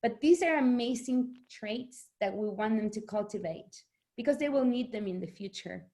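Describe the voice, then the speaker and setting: A middle-aged woman from Argentina, a native Spanish speaker, talking as an author guest in an interview, animated and laughing often. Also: a little high, moderate pace. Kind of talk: conversational interview.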